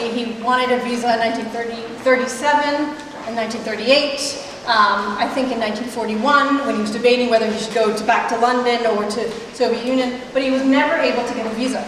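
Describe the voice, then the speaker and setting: A woman's voice: a little high, a little fast, hardly any pauses, slight background noise. Woman curator in an echoey gallery. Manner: chatty, many ums and restarts, walking and gesturing while talking. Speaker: museum curator. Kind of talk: gallery tour talk. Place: large gallery room, indoors. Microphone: handheld mic through a loudspeaker, recorded by a far camera. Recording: echoey gallery, speaker far off.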